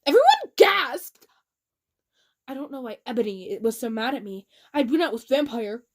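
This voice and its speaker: A woman reads fan fiction in a high, very animated voice. Her delivery is theatrical and mock-dramatic, with giggly, amused asides. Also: normal speed. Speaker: reader.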